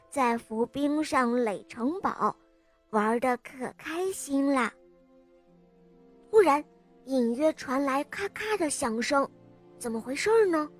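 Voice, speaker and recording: A woman reading a scripted storybook tale for young children, expressively and addressed to little listeners. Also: high-pitched. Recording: music under the voice. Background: music.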